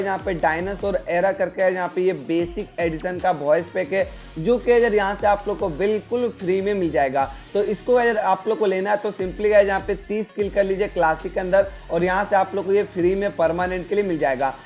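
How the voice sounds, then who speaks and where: A young man hosts a gaming explainer in a high-pitched voice. He talks straight to viewers, leaning on repeated filler phrases. Faint music plays behind him. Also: a little mellow. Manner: repetitive, filler-heavy address to viewers. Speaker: gaming video host. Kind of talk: explainer narration of game events. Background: background music.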